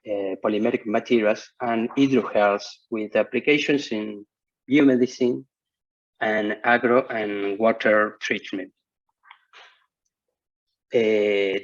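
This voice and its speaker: Slow, halting Argentine man on a call. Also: medium pitch. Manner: hesitant, halting non-native English with many restarts. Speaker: researcher giving a conference talk. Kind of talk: online conference lecture. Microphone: video call. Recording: muffled video-call audio.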